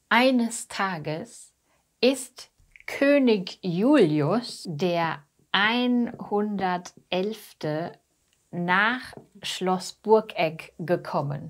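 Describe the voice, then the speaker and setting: A young woman from Germany teaching with slow, simplified storytelling for language learners, using simple phrases, pauses and emphasis on key words. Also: lowish voice. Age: young adult. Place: small attic room indoors. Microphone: lapel mic.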